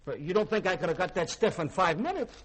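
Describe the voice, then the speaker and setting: An actor playing a cheeky, hard-drinking spaceship cook in a 1950s radio drama, folksy and wheedling, with a raspy voice. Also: male voice, high voice, big pitch swings, quick pace, heavily acted. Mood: wheedling, indignant.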